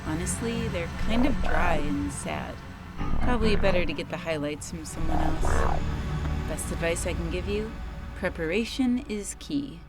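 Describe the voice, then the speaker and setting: A woman playing a blunt, sardonic young neighbor in a game, with a dry deadpan delivery. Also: lowish voice, normal speed, lightly acted. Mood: dry, matter-of-fact. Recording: loud game music behind.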